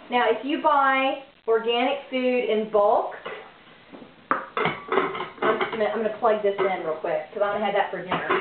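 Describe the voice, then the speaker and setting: Woman in her forties, chatty home-cook host, slightly high voice, far from the camera in a boomy kitchen, thin phone-like sound. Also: background noise. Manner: chatty, rambling, self-correcting; moves around the kitchen while talking. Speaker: home cooking vlogger. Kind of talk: casual cooking demo. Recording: echoey, distant, tinny phone-like sound.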